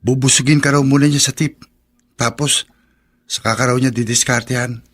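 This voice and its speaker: An actor playing a kind, protective young neighbour in a Filipino radio drama, earnest and a little hesitant. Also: male voice, mid-range voice, fairly even intonation, normal speed, fairly bright tone, breathy edge. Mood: concerned.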